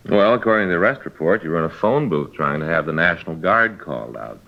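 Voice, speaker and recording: Casting: businesslike male detective sidekick, low voice, quick delivery, old radio crime drama. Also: animated, gravelly. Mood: matter-of-fact. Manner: matter-of-fact, brisk. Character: plain-spoken, businesslike male police detective partner. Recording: dull lo-fi old radio recording.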